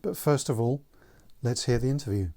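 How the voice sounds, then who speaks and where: A man hosting a church gathering, welcoming people and then interviewing a guest in a friendly, measured way. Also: medium pitch, dark tone.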